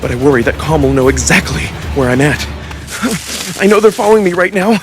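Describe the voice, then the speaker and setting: A man's voice: a little high, expressive, a little fast. A man narrating a visual novel in the first person, theatrical and immersed in the story. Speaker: narrator and voice performer. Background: music under the voice.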